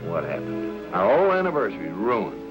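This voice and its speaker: An actor playing an exasperated, grumbling but mild husband in an old-time radio mystery. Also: male voice, fairly low pitch, huge pitch swings, brisk, over music, low-quality recording. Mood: weary, grumbling. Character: exasperated, grumpy husband in a tuxedo, mild-tempered.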